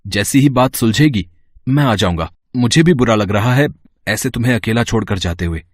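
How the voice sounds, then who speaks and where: A man voicing earnest, caring young men of a troubled family in an animated melodrama. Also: lowish voice, normal speed, no room sound, studio quality. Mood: regretful.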